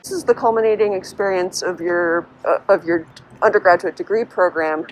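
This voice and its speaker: A woman's voice: lowish voice, fairly quick, a little hiss or hum, rough recording. A woman interviewing student teams at an event, asking questions and thanking each speaker.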